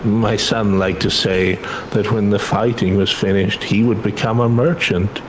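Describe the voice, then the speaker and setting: A man voicing a humble, grieving parent in a game, speaking in a halting, apologetic and polite way. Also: on the low side, steady tone, soft background music, lightly acted, low-quality recording. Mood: sorrowful.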